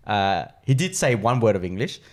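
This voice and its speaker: Lively, giggly man. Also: on the low side, normal speed. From Vietnamese family. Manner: animated, bursts into laughter while telling stories. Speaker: podcast co-host. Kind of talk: casual storytelling.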